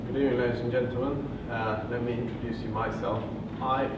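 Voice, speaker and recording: A man from Bulgaria in his thirties with a Bulgarian accent, telling his story at a property seminar with earnest pauses and fillers. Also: medium pitch, fairly even intonation. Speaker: property investor presenter. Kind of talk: seminar presentation. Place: hotel function room. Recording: muffled, distant, echoey room with noise.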